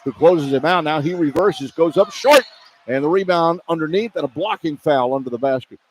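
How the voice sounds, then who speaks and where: Higher-pitched man calling live basketball. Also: normal speed, poor audio. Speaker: play-by-play radio announcer. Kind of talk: live basketball play-by-play commentary. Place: indoor basketball arena broadcast position. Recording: clear arena ambience noise. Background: arena ambience.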